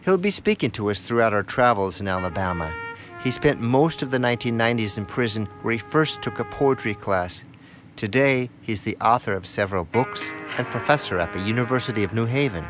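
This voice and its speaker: A man's voice: on the low side, expressive, a little fast, over music. A man reading scripted narration for a radio documentary feature.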